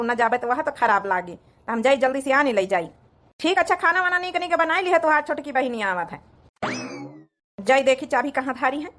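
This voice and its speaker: Woman playing a high-voiced jaunty Awadhi husband. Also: fairly bright tone. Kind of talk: cartoon comedy dialogue.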